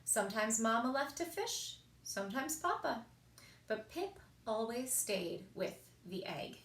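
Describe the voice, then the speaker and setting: A woman reading children's stories aloud as a story time reader, in an expressive, animated storytelling style. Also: a little high, a little slow. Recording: echoey room.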